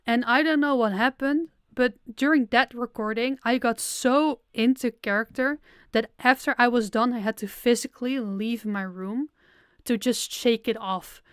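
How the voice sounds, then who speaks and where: Giggly young woman, smooth voice. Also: fairly high pitch, moderate pace, no room sound, studio quality. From Netherlands. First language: Dutch. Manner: chatty, giggly, self-deprecating. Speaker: audio creator streaming a Q&A. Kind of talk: casual livestream Q&A.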